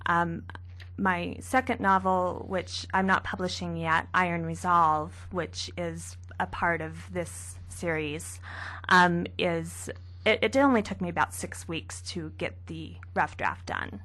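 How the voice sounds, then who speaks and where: A young woman author answering questions in a radio interview, soft-spoken with a gentle, light manner. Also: fairly low pitch, steady tone, slow. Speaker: author guest.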